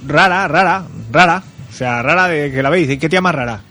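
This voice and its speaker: A man's voice: fairly high pitch, a little fast, sharp tone. A man with a Spain Spanish accent co-hosting casual comedic radio chat, jokey and repeating words for emphasis. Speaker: radio co-host.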